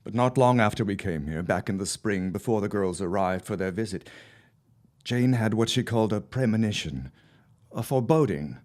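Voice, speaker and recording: A man's voice: on the low side, expressive, moderate pace, a little mellow, lightly acted. An actor playing a grieving, guilt-ridden father of the early twentieth century, torn and doubtful, in an audio drama. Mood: grieving, subdued.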